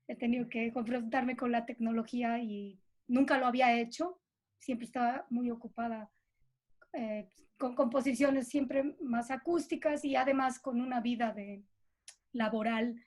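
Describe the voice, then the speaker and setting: A woman's voice: fairly high pitch, darkish tone. A woman from Cochabamba with a Bolivian Spanish accent, talking casually and reflectively as an interviewee. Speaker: interviewee, composer and musician.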